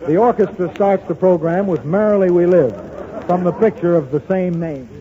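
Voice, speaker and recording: High-voiced man announcing old-time radio. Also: moderate pace, very noisy. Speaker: radio show host. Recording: muffled 1930s radio recording, audience behind.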